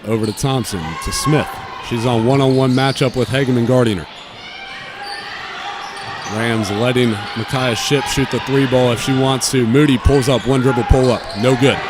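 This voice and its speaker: A man's voice: mid-range voice, steady tone, normal speed. A man doing live play-by-play commentary of a basketball game from inside a school gymnasium. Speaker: play-by-play announcer. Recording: loud gym crowd and court noise. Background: crowd, bouncing basketball.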